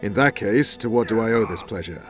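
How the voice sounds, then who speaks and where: An actor playing a hard, blunt army captain and spymaster in a video game, sardonic and menacing. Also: male voice, lowish voice, lively intonation, a little fast, close to an everyday voice. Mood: suspicious. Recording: game music behind the voice.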